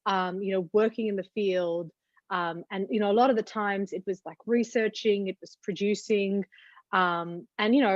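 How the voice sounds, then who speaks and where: A woman's voice: medium pitch, dry recording, highs cut off. A woman in her thirties with an Australian accent, answering interview questions reflectively with many fillers and restarts. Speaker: guest.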